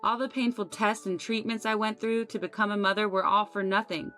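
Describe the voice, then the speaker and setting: A woman's voice: mid-range voice, steady tone, brisk, fairly bright tone, soft background music, pitched above the performer's own voice, natural voice. A woman narrator voicing a wronged young wife in a story, aggrieved, emphatic and resolute. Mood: pained, resolute. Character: wronged young daughter-in-law, bitter, pained, resolute.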